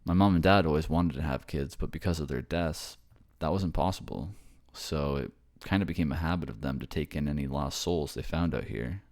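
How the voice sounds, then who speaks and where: A man's voice: extremely low pitch, a little fast, few pauses, a little mellow. A man playing an affectionate, reassuring boyfriend from a ghost family in a casual ASMR-style roleplay. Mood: tender, explaining.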